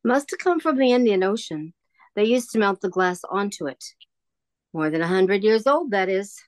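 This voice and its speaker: A woman's voice: mid-range voice, animated, moderate pace, dry recording, lightly acted. A woman playing a genial, wistful older shopkeeper in an audio drama, chatty and nostalgic.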